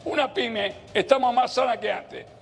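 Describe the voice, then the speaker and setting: A man's voice: very high-pitched, normal speed, creaky, a bit bright, big-room sound. An eighty-year-old man with an Argentine Rioplatense accent arguing heatedly and repeating himself as a merchant in a live TV interview. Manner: agitated, argumentative, repeats himself. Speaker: textile merchant being interviewed. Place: inside a clothing shop. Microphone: handheld reporter microphone.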